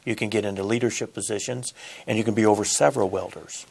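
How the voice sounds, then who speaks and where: A man's voice: fairly low pitch, fairly even intonation, fast, vocal fry, darkish tone, slightly rough, low-quality recording. A middle-aged man, a union apprenticeship coordinator answering in a studio interview, earnest and deferential on a lapel mic. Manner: earnest, deferential, says "yes, sir".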